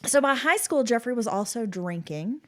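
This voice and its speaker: A woman's voice: a little high, expressive, moderate pace, a bit bright. A woman co-hosting a true-crime podcast, sounding nasal and congested with a cold. She moves between reading case details and easy, laughing banter. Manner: reads case details, then chats and laughs. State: stuffy nose from a cold. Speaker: podcast co-host.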